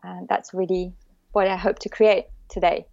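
A woman with a French accent, a guest chatting casually in an interview, warm and often laughing with hesitant fillers. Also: on the low side, flat delivery, moderate pace, creaky, no echo, lo-fi sound. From born in the UK, raised in the south of France. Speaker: guest, bio-neuroemotion practitioner.

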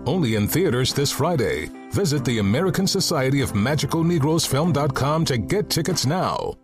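A man's voice: mid-range voice, expressive, moderate pace, over music. A man reading a polished promotional voice-over for a film. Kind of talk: movie ad voice-over.

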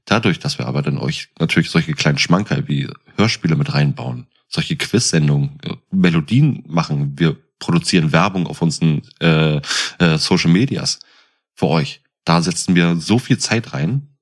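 A man's voice: rumbling low, animated, normal speed. A middle-aged man talking casually as a podcast co-host.

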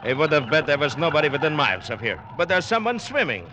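A man's voice: on the high side, sing-song, quick pace, muffled recording, noisy background. An actor playing a deferential, observant crewman in a hesitant, respectful manner, in 1940s radio drama style. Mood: wary, observant. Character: deferential, observant male sailor, lone crewman.

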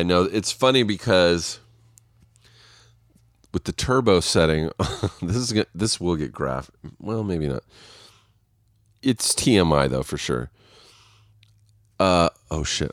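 A man with a very low voice, stuffy and nasal from congestion, recorded dry and close. He rambles through a casual solo podcast, swearing freely and laughing at his own stories. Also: no room sound. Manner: rambling, profane, self-mocking, laughs often. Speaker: podcast host. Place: waiting on a set, recording alone.

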